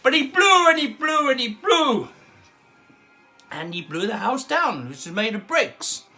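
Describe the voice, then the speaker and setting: A man's voice: extremely high pitch, sing-song, measured pace, pushed and bright, soft background music. A man telling a rambling comic bedtime story, chuckling and wandering into asides.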